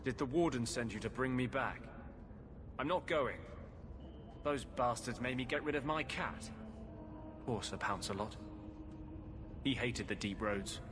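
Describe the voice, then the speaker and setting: A man acting as a fugitive mage healer in a fantasy game, guarded and bitter but fiercely earnest. His mid-pitched voice carries dry wit and sits over clear background music. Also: a little slow, long pauses, natural voice. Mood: bitter, wry. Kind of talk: video game cutscene acting.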